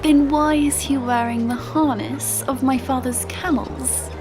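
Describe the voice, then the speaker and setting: A young maiden with a high, earnest voice, played by a woman storyteller. She is guarded and wistful yet teasing, like a storybook heroine, with music behind her. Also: fairly even intonation, measured pace. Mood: wary, challenging. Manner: coy, earnest, wary. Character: fair young pirate-tale lass, guarded, wistful, teasing.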